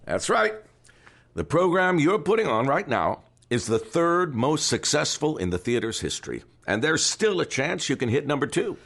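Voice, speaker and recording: A man playing an older theater owner in an audio drama, formal and candid, speaking with measured authority. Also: fairly high pitch, sing-song, vocal fry, fairly bright tone, heavily acted. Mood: pleased, proud. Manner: measured, formal, patronizing yet appreciative.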